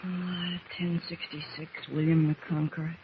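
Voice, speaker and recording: A muffled, noisy old radio recording. A male actor plays an earnest teenage boy with a high voice. He talks in wholesome exclamations like a plucky young adventurer of a radio serial. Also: level tone, normal speed, close to an everyday voice. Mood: anxious, plucky. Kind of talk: 1940s radio drama acting.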